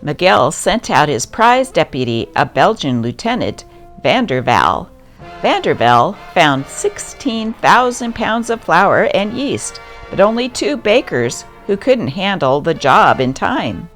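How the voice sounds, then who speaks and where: A woman's voice: on the low side, very animated, slow. A woman hosting and reading old newspaper articles aloud as scripted narration. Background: music.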